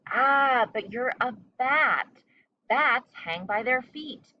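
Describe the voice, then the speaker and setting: Lady doing a loud storybook bat, pitched up, emphatic and matter of fact, slightly dull recording. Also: animated, takes it slowly. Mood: matter-of-fact correcting.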